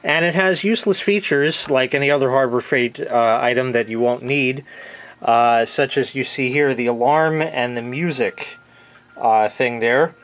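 A man's voice: mid-range voice, measured pace, fairly bright tone. A middle-aged American man giving a casual hands-on product review at home, plain-spoken and offhand, into a handheld camera's mic. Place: small room at home, laundry area. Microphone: handheld camera mic, close behind the camera.

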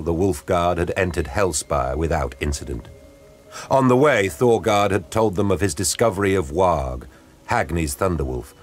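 A man narrating an audio drama in a grave, measured storytelling style. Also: deep voice, a little hiss or hum. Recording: studio narration over music. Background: dramatic music.

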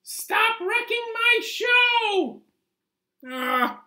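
A man voicing an excitable, whiny moose puppet in a children's puppet show. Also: very high voice, drawn-out words, pitched above the performer's own voice, heavily acted. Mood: impatient, upset.

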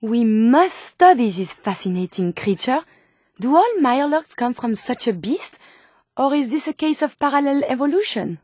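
A woman's voice: a little high, a little mellow, no echo, clean studio sound. A woman voicing an eager, idealistic robot scientist in a video game, speaking precise, formal lines with a French accent. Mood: eager wonder. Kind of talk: scripted video game companion dialogue.

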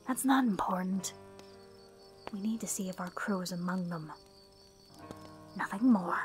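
A woman playing a cautious, level-headed captain of explorers in an audio drama, speaking in a measured, commanding way. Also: fairly low pitch, faint music behind, natural voice. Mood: hushed.

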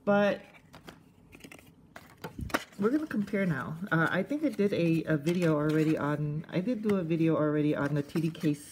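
A woman giving casual, unscripted hands-on review commentary in a halting, conversational way, thinking aloud. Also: low-pitched, expressive, darkish tone. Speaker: host of a cassette collecting video channel. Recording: faint background noise, casual tablet recording.

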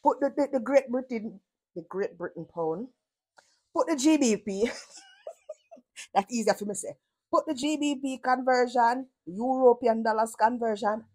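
A woman's voice: fairly high pitch, a little slow, a little mellow. Blunt Jamaican woman mocking a video. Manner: sarcastic, blunt, swears freely in patois. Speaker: reaction commentator. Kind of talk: mocking reaction commentary.

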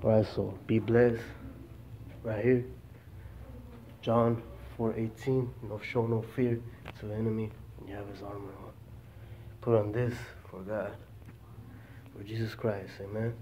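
A man talking casually as he films a handheld vlog, repeating short phrases and giving shout-outs. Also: on the low side, level tone, a little slow, pauses often. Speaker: vlogger. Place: church back rooms, indoors. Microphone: handheld phone. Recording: muffled noisy phone recording.

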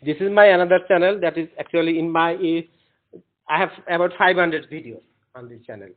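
A man's voice: fairly high pitch, a little fast. A man whose first language is Bengali, lecturing a class in an earnest, encouraging way and often repeating himself. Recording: echoey room, tinny phone-like sound.

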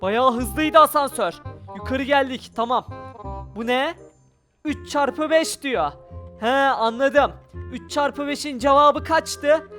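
A gamer giving excited, exclamatory gameplay commentary with role-play, narrating every action aloud in speech. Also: high voice, slow pace. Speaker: gaming video creator. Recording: music behind the voice. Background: background music.

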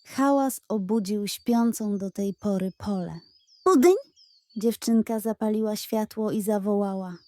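A woman narrating a bedtime story for children in a gentle, expressive way, close to the mic. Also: mid-range voice, measured pace, few pauses, dry recording.

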